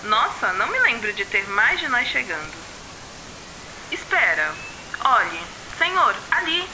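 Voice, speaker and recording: A woman's voice: fairly high pitch, sing-song, measured pace, noisy background. A woman with a Brazilian accent playing a formal, dutiful corporate subordinate in an audio drama, heard through an intercepted radio recording. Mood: alert. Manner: formal, obedient.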